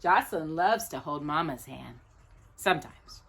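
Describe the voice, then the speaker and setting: A woman reading a children's storybook aloud as a storytime host, in an expressive, drawn-out, playful manner. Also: low voice, gravelly, husky. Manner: animated, drawn-out delivery with playful asides to a child.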